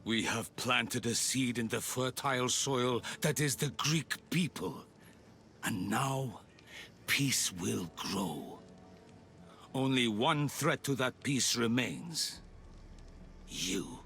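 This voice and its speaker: An actor playing an aging, zealous Persian leader of a secret order in a video game, speaking in a grandiose, deliberate manner. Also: male voice, medium pitch, expressive, gravelly, sharp tone, heavily acted. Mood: solemn conviction. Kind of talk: video game cutscene acting.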